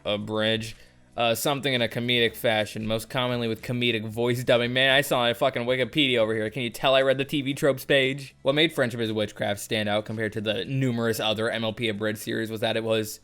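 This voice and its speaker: A man's voice: medium pitch, steady tone, fast, a bit bright, smooth. A man giving casual, jokey video commentary with a sardonic, sweary manner. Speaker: video essayist. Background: faint background music.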